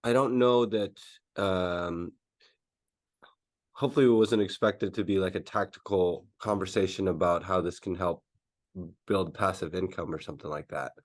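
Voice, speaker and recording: A man's voice: low-pitched, moderate pace. A man from the Philippines who moved to California young, chatting informally and a little hesitantly as a podcast guest. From Philippines, moved to California as a child. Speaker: podcast guest, mobile home renovation business owner. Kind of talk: casual chat.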